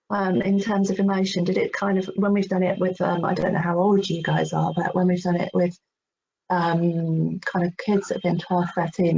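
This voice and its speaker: Chatty middle-aged woman presenting on a video call, mellow, bit muffled. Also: lowish voice, gravelly. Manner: hesitant, chatty, encouraging. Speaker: workshop presenter. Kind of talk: informal online workshop talk. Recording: dull, noisy online call audio.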